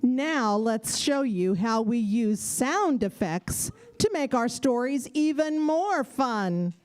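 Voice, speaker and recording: Female children's show presenter, scripted live delivery, very animated and slow. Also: fairly high pitch. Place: live library event before an audience.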